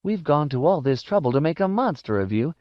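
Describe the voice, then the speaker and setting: A man's voice: fairly high pitch, big pitch swings, brisk, voice pushed up. A man voicing a wiry, sinister male tempter in a storybook, hissing and chanting as he goads insistently. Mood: impatient urging. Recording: roomy, dull lo-fi audio.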